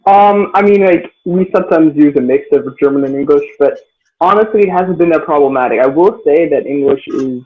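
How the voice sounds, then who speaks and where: Teen male guest, slightly high voice, halting with lots of fillers, narrow phone-like sound. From United States. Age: teens. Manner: hesitant, many fillers and restarts. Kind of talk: casual interview answers. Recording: tinny phone-like line.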